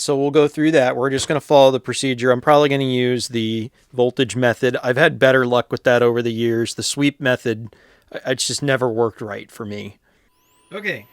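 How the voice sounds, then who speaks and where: A man giving a casual, unscripted repair walkthrough, plainspoken and thinking aloud with pauses as he works. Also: medium pitch. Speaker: hobbyist radio repairer presenting his channel.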